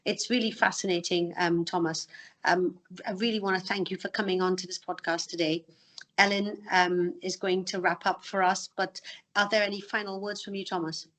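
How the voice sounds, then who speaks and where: A woman's voice: lowish voice, fairly even intonation, normal speed, a bit bright. A woman hosting a podcast interview, speaking with frequent um fillers. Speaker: podcast host, charity trustee. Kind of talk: conversational interview.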